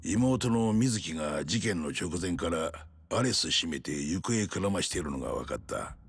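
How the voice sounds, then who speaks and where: Husky, low-voiced, hard-boiled detective. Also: male voice, animated, moderate pace, no room sound, full character voice. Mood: matter-of-fact. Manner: blunt, rough masculine speech. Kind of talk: dramatic video game cutscene acting.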